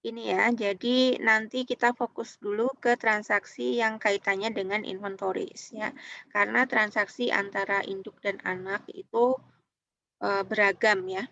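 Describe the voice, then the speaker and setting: Female Indonesian lecturer, medium pitch, dry but dull lo-fi sound, halting explanatory delivery with frequent check-in tags. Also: fairly even intonation, vocal fry, bright, forceful tone, dry recording. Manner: halting, often checks in with short tag words. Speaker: university lecturer. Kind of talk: online accounting lecture, explanatory.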